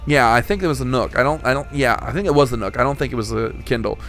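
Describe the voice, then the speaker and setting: A man's voice: medium pitch, fast talker, gravelly, fairly bright tone, faint music behind. A young man hosting a casual podcast, talking in rambling, restart-heavy stretches with some swearing. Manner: rambling, full of restarts and profanity. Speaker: podcast host.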